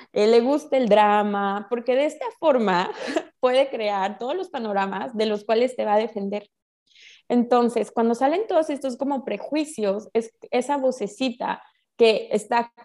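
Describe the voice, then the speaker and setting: A woman with a Mexican accent explains ideas in a casual, expressive, conversational way full of colloquial slang. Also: a little high. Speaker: guest explaining spiritual ideas.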